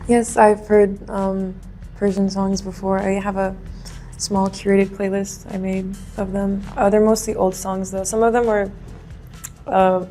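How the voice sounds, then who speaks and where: A woman's voice: medium pitch, level tone, unhurried, mellow, faint music behind. A woman from California with an American accent, chatting casually as a guest in a reaction video. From California, United States. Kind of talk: casual chat.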